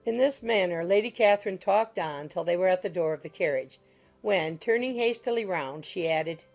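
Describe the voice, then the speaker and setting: Creaky-voiced woman narrating a novel. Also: on the low side, moderate pace, lo-fi sound. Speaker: audiobook narrator. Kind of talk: scripted literary narration. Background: soft music.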